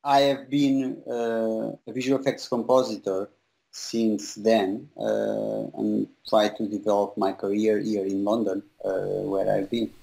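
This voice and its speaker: A young Portuguese man with a gravelly, fry-edged voice speaks slowly in a fairly low register, halting often as he works through his English. He sounds like a guest on a casual video-call interview. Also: level tone, warm tone, rough recording. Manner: halting, many fillers and restarts. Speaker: guest, visual effects compositor.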